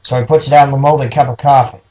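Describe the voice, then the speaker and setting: A man's voice: mid-range voice, fairly even intonation, quick pace, dark tone, poor audio. A man reading scripted noir detective story narration as the narrator.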